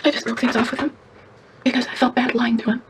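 A woman playing a probing, candid woman in an intimate screen drama, with a husky, breathy voice. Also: on the high side, little pitch movement, fast talker, background noise, natural voice. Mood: frank, weary. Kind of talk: naturalistic screen acting.

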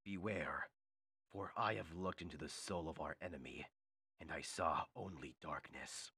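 A man voicing a solemn, mysterious ninja chameleon, speaking gravely in a hushed, measured way. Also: a bass voice, no room sound, voice pushed down, exaggerated voice.

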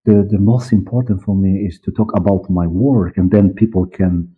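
A middle-aged man from Poland with a Polish accent, answering interview questions in halting English, repeating words as he searches. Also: deep voice, fairly even intonation, slightly rough. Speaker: interview guest, audio director and actor. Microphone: headset or desk mic on video call. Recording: muffled, band-limited video call audio.

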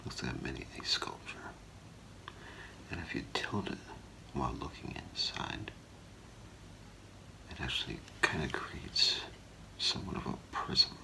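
Very deep, creaky whispering ASMR man. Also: lively intonation, rough recording. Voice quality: breathy low whisper with gravelly creak. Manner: hushed, unhurried, halting, explaining gently to a client. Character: soft-spoken gemologist appraising for a client. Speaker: ASMR performer playing a gemologist. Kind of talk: ASMR role play, soft whispering. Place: small indoor room, seated before a curtain.

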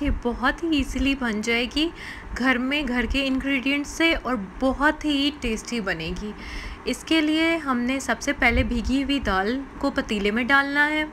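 A woman's voice: high-pitched, fairly bright tone, slight background noise. A woman hosting a cooking channel, explaining each recipe step in a voice-over and often restating it in simpler words. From Pakistan.

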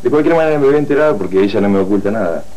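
A man's voice: lowish voice, lively intonation, rapid-fire, darkish tone, natural voice, low-quality recording. A man playing an earnest, stubborn young husband with an Argentine accent in a telenovela. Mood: defensive.

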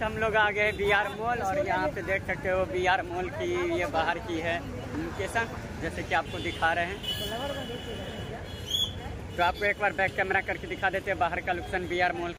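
High-pitched young man vlogging over loud noise. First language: Maithili. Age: young adult. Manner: repetitive, informal narrating as he walks. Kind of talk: casual vlog chat to camera. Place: outdoors by roads and inside a large busy mall. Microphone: phone at arm's length. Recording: loud street and mall ambience, handheld. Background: loud urban ambience and vehicles.

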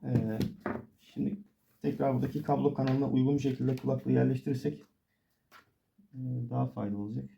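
Man with very dark, mellow, creaky voice. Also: mid-range voice, steady tone, a little fast, pauses often, muffled recording, slight background noise. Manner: informal, explains step by step while working. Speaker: DIY tutorial presenter. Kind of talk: casual hands-on tutorial narration.

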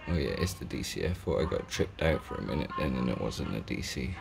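A man's voice: extremely low pitch, lively intonation, brisk, vocal fry. A man giving casual, sweary, slangy unboxing commentary indoors, filming on a handheld phone. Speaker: fishing vlogger. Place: indoors at a table. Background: music.

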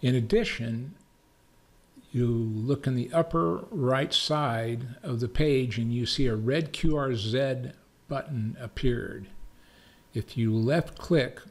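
American man, slow unhurried delivery, mid-pitched, methodical, instructional screencast narration. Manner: measured, methodical step-by-step explaining. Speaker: tutorial presenter, amateur radio operator. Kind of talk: screencast software tutorial narration.

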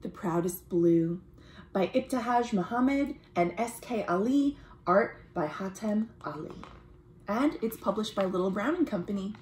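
A woman, reading a children's picture book aloud in an expressive, deliberate way as a storytime host. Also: mid-range voice, a little hiss or hum, poor audio. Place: small room at home, indoors.

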